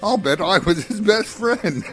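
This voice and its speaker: A man's voice: sits high, fairly bright tone, full character voice. A man playing a callous, jocular businessman in a radio drama, with a flippant sing-song delivery. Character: callous, jocular businessman gossiping about a death. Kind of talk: theatrical radio drama acting.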